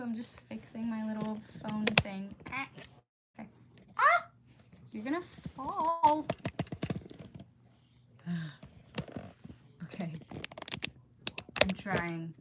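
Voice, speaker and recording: A woman's voice: high voice, very noisy. A woman livestreaming in a chatty, informal, easily sidetracked way as she reads aloud and talks to viewers. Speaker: livestream host reading aloud. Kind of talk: casual livestream chat and storybook read-aloud.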